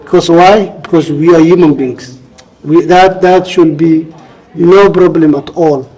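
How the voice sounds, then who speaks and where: A man's voice: on the high side, fairly even intonation, unhurried, mellow, poor audio. A man with a Somali accent answering interview questions, explaining earnestly and repeating phrases for emphasis. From Somalia, grew up in Kenya. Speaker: interview guest, author and refugee advocate.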